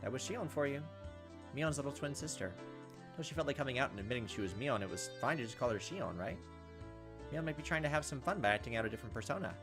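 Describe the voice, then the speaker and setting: A man's voice: medium pitch, fast talker, music in the background. A man reading a visual novel aloud as a gameplay host, adding casual, wry asides between the narrated passages. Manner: animated, wry joking asides. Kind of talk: let's play narration with casual commentary.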